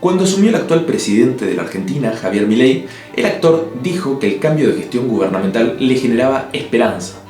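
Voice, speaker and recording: Un hombre de Uruguay con acento del Río de la Plata, que narra un ensayo en video con opiniones firmes y toques de humor seco. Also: male voice, medium pitch, animated, fairly quick. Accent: Río de la Plata Spanish. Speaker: video essay host. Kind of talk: scripted narration. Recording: echoey room with soft music.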